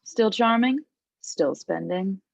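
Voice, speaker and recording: Woman acting a creaky-voiced, conniving aristocratic older lady. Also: medium pitch, animated, slow, highs cut off, exaggerated voice. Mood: coolly threatening. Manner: polished, silky menace. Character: arrogant aristocratic sixty-ish mother, steely, conniving, falsely polite. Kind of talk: table read of a comedy film script.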